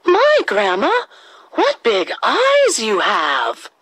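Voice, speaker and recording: A woman's voice: a little high, huge pitch swings, drawn-out words, fairly bright tone, breathy edge, no room sound, pitched above the performer's own voice, heavily acted. A woman voicing a sweet, innocent little girl in a children's storybook, exclaiming in a wide-eyed, exaggerated way. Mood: wide-eyed wonder.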